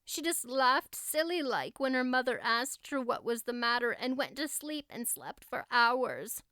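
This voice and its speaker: Audiobook role: wordy, earnest young girl, voiced by a woman, high and plaintive, given to melodrama, very clean tone. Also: expressive, measured pace, pushed and bright, smooth, no room sound, studio quality. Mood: earnest, upset. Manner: effusive, dramatic, plaintive. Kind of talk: audiobook character acting.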